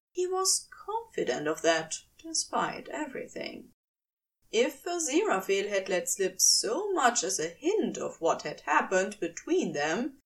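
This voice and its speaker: A woman reads fiction in a highish, lively voice that rises and falls with the drama. She places deliberate pauses for emphasis, and she sounds a little way off from the microphone. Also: far from the mic. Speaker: fan fiction audiobook reader. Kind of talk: expressive scripted narration.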